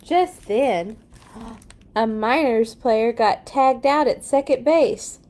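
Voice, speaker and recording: A woman reading a children's story aloud in an expressive, playful way for young listeners. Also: a little high, takes it slowly. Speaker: children's read-along storyteller. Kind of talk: storybook read-aloud with animated asides.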